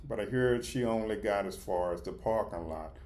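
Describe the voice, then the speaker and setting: A man reading the part of a soft-hearted, hesitant front desk worker at an animal shelter in a storybook, earnest and tentative. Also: lowish voice, normal speed, natural voice. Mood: doubtful.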